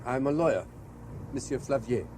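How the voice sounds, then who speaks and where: An actor playing an earnest, insistent gentleman lawyer in a 1970s radio drama. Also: mid-range voice, lively intonation, moderate pace, natural voice. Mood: firm, questioning. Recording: noisy old 1970s radio broadcast.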